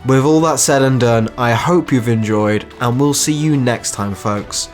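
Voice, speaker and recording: Mid-pitched man narrating over music. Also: unhurried. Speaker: narrator and channel creator. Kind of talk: scripted story narration.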